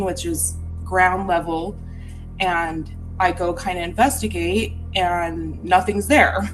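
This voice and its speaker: A woman's voice: on the low side, low-quality recording. A woman from Utah telling a personal ghost story in an earnest, candid, reflective way.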